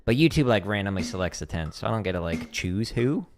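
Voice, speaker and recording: A man's voice: low-pitched, very animated, fast, loud music behind the voice. A man casually chatting with his livestream viewers between drum practice runs, loose and full of "like" fillers, counting tempos aloud. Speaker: drum teacher streaming practice. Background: drumming on a practice pad.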